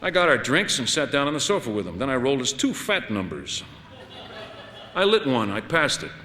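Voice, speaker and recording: A man's voice: medium pitch, sing-song, brisk, bright, forceful tone, slight background noise. A man narrating a first-person short story in a wry, conversational, dramatic way. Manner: wry, conversational, dramatic delivery with shifts into dialogue. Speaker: audiobook narrator. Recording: long hall-like reverb.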